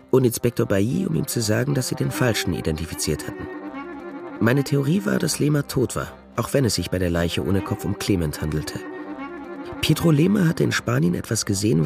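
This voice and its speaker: An actor playing a dogged male private detective in a 1930s crime radio drama, explaining things in a deliberate, matter-of-fact way. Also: mid-range voice, gravelly. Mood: reflective. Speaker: detective and first-person narrator. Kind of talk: German radio crime drama acting and narration. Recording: studio radio drama with music underneath.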